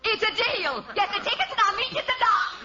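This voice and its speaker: A woman's voice: very high voice, expressive, fairly quick, bright, forceful tone, full character voice. A woman playing a gushing, tactless, star-struck show fan in 1940s radio comedy. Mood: flirtatious. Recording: dull, noisy old radio recording.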